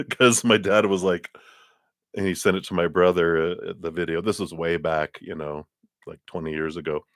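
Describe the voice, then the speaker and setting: A man's voice: low-pitched, fairly even intonation, normal speed, no room sound, studio quality. A man hosting a live tarot reading stream, chatting in a rambling, casual way and laughing often, with a tickle in his throat. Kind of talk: casual livestream chat and card reading.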